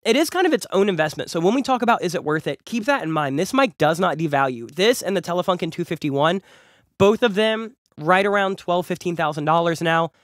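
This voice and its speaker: A man's voice: high voice, lively intonation, a little fast, fairly bright tone, no room sound. A young adult man hosting a casual gear review, enthusiastic and chatty and repeating words for emphasis, a bit nasal from a cold, talking close into a studio condenser. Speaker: music producer and YouTube host. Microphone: large studio condenser close up.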